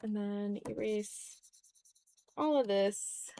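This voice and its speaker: A woman's voice: medium pitch, slow, dark tone. A woman streamer chatting informally on a livestream while she draws, often muttering to herself as she works. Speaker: streamer hosting an art stream. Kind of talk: casual livestream chat while drawing.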